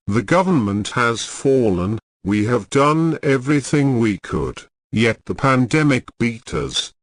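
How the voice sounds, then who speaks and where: A man's voice: medium pitch, no room sound. A man reading a scripted emergency broadcast announcement in a formal, solemn manner.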